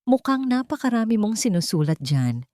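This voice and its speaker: A woman's voice: a little high, moderate pace, dry recording, clean studio sound, voice pushed up. Woman voicing a cold, menacing Filipino lady. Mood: coolly threatening. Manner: calm, veiled threat. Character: cold, calm, menacing wealthy lady of the house.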